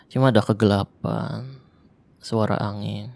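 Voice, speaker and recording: A man voicing a lonely young male survivor of a zombie apocalypse in an ASMR roleplay, talking softly and intimately in casual Jakarta slang, close to the microphone. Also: lowish voice, fairly even intonation, unhurried, creaky, no room sound. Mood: tender. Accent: Jakarta colloquial Indonesian.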